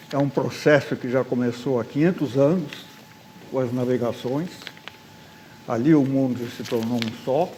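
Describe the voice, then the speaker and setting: A man's voice: mid-range voice, a little mellow, slight background noise, low-quality recording. A man with a Brazilian Portuguese accent answers a debate question. He speaks thoughtfully and hesitantly, with frequent fillers. Faint room ambience is heard behind him. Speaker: panel guest.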